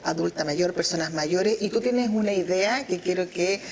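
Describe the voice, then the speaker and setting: A woman's voice: fairly low pitch, normal speed, a little hiss or hum. A woman hosting an interview, encouraging her guest and offering short acknowledgements. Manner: encouraging, frequent short backchannels. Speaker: interviewer host. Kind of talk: conversational interview.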